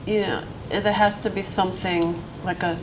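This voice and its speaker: A woman's voice: fairly low pitch, fairly even intonation, moderate pace. Hesitant woman panelist, noisy room. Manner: hesitant, full of restarts. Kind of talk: panel discussion. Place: lecture hall.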